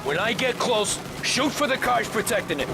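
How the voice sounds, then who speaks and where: A man playing a tough, brisk mobster giving orders in a noisy video game battle. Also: very high-pitched, lively intonation, gravelly, full character voice. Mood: urgent. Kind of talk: video game cinematic acting. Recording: noisy gunfire and engine sounds.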